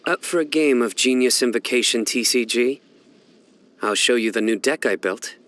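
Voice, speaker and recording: An actor playing a solemn, stoic young desert enforcer of justice in a video game, speaking in a measured, deadpan way. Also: male voice, medium pitch, close to an everyday voice. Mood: calm, reflective. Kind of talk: scripted game character voice lines.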